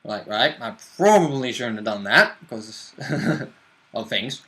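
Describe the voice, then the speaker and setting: A man with a very bright, edgy voice chats as a player about a tabletop game. His talk is casual, blunt and slangy, with a jokey streak. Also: medium pitch, animated, slow. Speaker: player, co-host.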